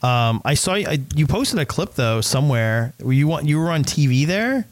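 A man's voice: fairly high pitch, sing-song, normal speed, no echo. A man hosting a casual chat, mostly giving short encouraging replies and asking questions. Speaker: host.

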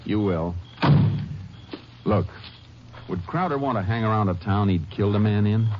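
An actor playing a calm, shrewd Texas Ranger in a measured, assured, plain-spoken way, in 1950s radio western style. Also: male voice, on the low side, a little hiss or hum, close to an everyday voice. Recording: muffled old radio recording with music.

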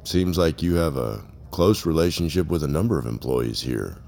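A man playing a probing, unyielding police officer in an audio drama, questioning formally in clipped phrases with a gravelly, husky voice. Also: a bass voice, expressive, moderate pace, a little mellow, heavily acted. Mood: measured, probing. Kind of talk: audio drama interrogation dialogue.

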